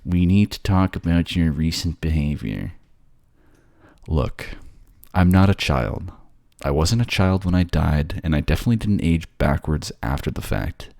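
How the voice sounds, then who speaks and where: A man's voice: very deep voice, lively intonation, a little slow, vocal fry, darkish tone, no echo, clean studio sound, exaggerated voice. A man playing a bored, sardonic ghost who haunts a library, snarky and conversational in an ASMR audio roleplay. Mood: defensive, teasing. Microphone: close, dry microphone.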